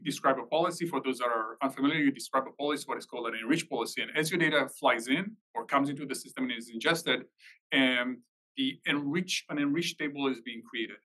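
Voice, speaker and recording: A man's voice: mid-range voice, sharp tone, rough recording. A man giving an informal technical explanation at a live meetup, rephrasing as he goes. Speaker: technical presenter.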